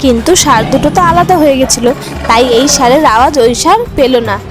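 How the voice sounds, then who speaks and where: A woman with a high-pitched voice narrates a children's story. She tells it as a storyteller, with music clearly audible behind her. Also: fast.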